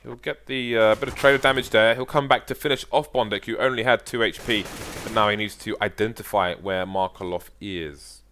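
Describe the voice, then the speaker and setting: A man commentating on a competitive video game match, measured and analytical, with playful banter. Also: fairly low pitch, steady tone, pushed and bright. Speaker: esports caster. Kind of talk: color commentary.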